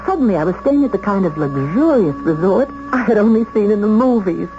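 An actress playing a prim, earnest young secretary in a 1970s radio drama, polite, hesitant and easily indignant. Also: female voice, mid-range voice, very animated, brisk, over music, lightly acted. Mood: thrilled. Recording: muffled 1970s radio broadcast, some hiss.

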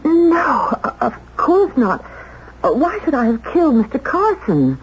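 A woman playing a flustered young secretary under suspicion in a 1970s radio drama, halting and emphatic as she protests. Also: high-pitched, huge pitch swings, full character voice. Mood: shocked, defensive. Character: flustered young female secretary accused of murder. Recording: dull, hissy vintage radio recording.

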